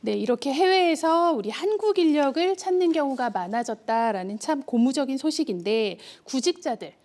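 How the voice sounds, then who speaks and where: A woman's voice: sits high, lively intonation, moderate pace, darkish tone. A woman hosting a news segment, putting polite, scripted questions to a guest in a broadcast interviewing style.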